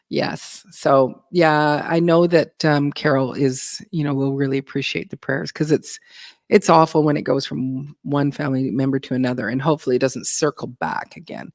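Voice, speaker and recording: A woman's voice: low-pitched, normal speed, a little mellow, no echo, studio quality. A woman hosting a casual livestream, chatting with viewers and a guest, laughing often and filling her talk with ums and restarts. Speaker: livestream host.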